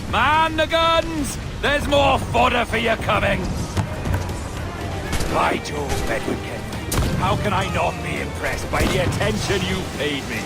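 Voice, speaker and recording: Casting: flamboyant taunting pirate lord, slow raspy-edged shouts, loud music, video game battle. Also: male voice, sits high, animated, full character voice. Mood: gleeful defiance. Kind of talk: theatrical video game acting.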